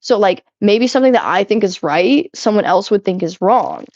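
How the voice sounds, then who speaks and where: A woman's voice: mid-range voice, lively intonation, moderate pace, darkish tone, no echo. A young adult woman streaming while she cleans, chatting loosely with her viewers, giggling and swearing often. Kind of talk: casual live-stream chat while cleaning. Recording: slightly muffled, dry sound.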